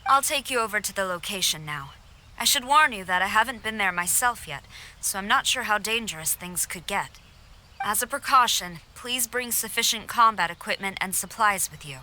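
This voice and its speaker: An actress playing a stern, dutiful female army general in a video game, speaking in a formal, measured, authoritative way. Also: mid-range voice, lively intonation, pushed and bright, a little hiss or hum. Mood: composed, assured.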